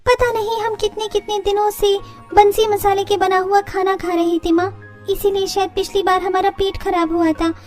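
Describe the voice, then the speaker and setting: A woman's voice: very high-pitched, flat delivery, normal speed, a little mellow, faint music behind, pitched above the performer's own voice. A woman voicing an indignant young sister-in-law in a family story, pert and blunt in manner.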